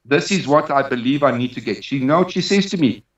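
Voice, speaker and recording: A man's voice: medium pitch, animated, quick pace. A man with a South African accent speaking as an interview guest, giving an emphatic personal account and repeating phrases for weight. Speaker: interview guest, dog trainer. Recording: roomy, distant, dull sound.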